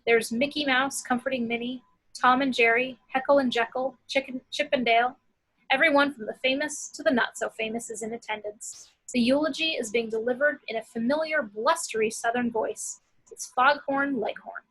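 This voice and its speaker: Female narrator for screenplay action lines, bright and forceful with some rasp. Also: high-pitched, normal speed, rough recording. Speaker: action-description reader.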